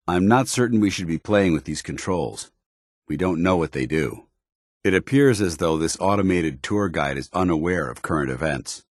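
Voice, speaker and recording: Game companion role: dutiful armored military officer, stern and loyal, low clean voice, formal clipped delivery, dry recording. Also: male voice, dry recording. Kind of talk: video game companion dialogue.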